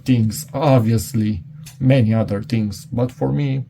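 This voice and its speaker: Middle-aged man, heavy vocal fry, soft mellow tone, hesitant rambling delivery, casual product demo. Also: mid-range voice, fairly even intonation, unhurried, slight background noise. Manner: hesitant, rambling, thinking aloud. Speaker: product reviewer. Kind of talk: casual unscripted product demonstration.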